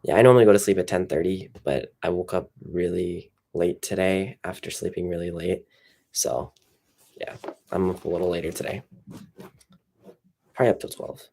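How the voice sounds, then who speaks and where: A young man with a very low, gravelly voice chats on a livestream, sounding tired. He rambles loosely and fills gaps with "like" and "um." His tone is dark and slightly husky. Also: normal speed, long pauses. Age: young adult. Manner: relaxed, rambling, many fillers. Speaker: streamer. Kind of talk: casual livestream chat.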